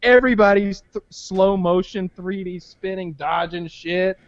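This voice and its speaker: A man's voice: high-pitched, a little slow, highs cut off. A man co-hosting a podcast, chatting casually and crudely, rambling with many restarts and fillers. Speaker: podcast co-host. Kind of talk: casual comedic chat.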